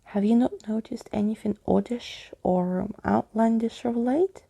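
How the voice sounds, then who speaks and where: A woman's voice: on the high side, measured pace, creaky, warm tone, husky, pitched above the performer's own voice, exaggerated voice. A woman voicing a courtly, eccentric male skeleton from a storybook, with a pompous, old-fashioned manner. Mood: grandly declaring.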